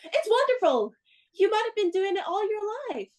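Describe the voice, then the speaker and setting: A woman's voice: extremely high pitch, very animated, normal speed, darkish tone. An actress playing a spirited, playful, bossy young woman in a radio play, with a theatrical, instructing manner. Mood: playfully instructing.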